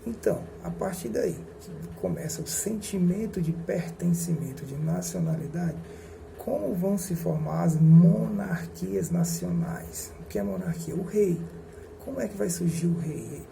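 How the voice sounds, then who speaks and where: A male history teacher lectures at an unhurried pace, with a gravelly edge to his slightly high voice. He often pauses and corrects himself as he explains. The recording is noisy, with a steady hum underneath. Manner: slow, deliberate, explains with pauses and self-corrections.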